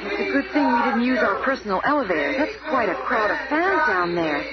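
A woman's voice: fairly high pitch, sing-song, a little fast. An actress playing a polite, sensible female superhero in a 1970s radio drama. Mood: earnest. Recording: noisy, muffled 1970s radio recording.

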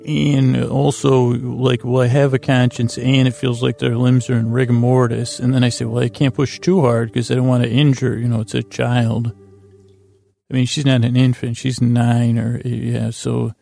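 Gravelly, meandering man lulling listeners to sleep. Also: medium pitch, little pitch movement, dark tone, faint music behind. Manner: meandering, halting, full of pauses and unfinished tangents; self-deprecating, dryly whimsical. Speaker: host of a bedtime-story sleep podcast. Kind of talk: rambling bedtime monologue.

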